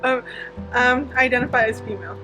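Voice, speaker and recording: A woman's voice: fairly high pitch, fast talker, rough recording. A nineteen-year-old woman answering interview questions in a chatty, loose way with fillers. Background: background music.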